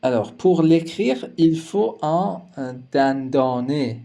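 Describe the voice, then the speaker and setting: A man walks learners through a language lesson slowly and methodically, pausing with small hesitations. His voice sits a little high but sounds mellow and warm. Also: lively intonation, creaky. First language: Persian. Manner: slow, careful, explanatory delivery with hesitation fillers. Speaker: language teacher.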